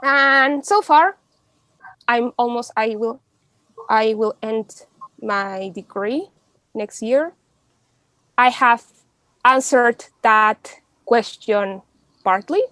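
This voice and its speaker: Young woman, podcast guest, chatty, lots of "like", higher voice, dry sound. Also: extremely slow, pauses often, fairly bright tone, no room sound. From Mexico. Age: young adult. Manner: chatty, many fillers and restarts. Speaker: podcast guest, law student. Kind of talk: casual interview talk.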